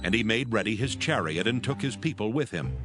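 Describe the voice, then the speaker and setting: A man narrating scripture in a measured, solemn manner for a dramatized audio Bible. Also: on the low side, expressive. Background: loud music.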